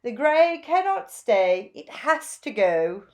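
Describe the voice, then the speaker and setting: Flamboyant female bird stylist for a storybook, played by a woman: bossy, gushing, high and slow, stretching key words. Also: very animated, darkish tone, a little hiss or hum. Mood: commanding. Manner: theatrical, gushing, drawn-out emphatic words. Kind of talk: children's storybook character voice.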